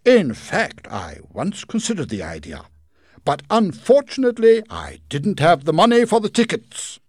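A man voicing a proud, pompous gentleman in a storybook reading, speaking loudly, formally and declamatorily. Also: high-pitched, very animated, moderate pace, no room sound, clean studio sound, pitched above the performer's own voice. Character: proud, pompous middle-aged gentleman clerk.

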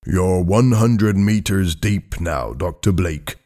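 Guy doing a storybook boat captain, steady and matter-of-fact, slow pace. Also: deep voice.

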